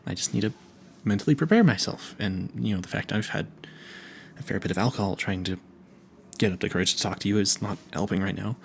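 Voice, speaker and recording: Man playing a flustered, low, creaky-voiced vampire hunter. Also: a little hiss or hum. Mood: nervous, eager. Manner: flustered, stammering, halting and self-correcting. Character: nervous, smitten, clueless young male vampire hunter. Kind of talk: audio roleplay, spoken intimately to the listener.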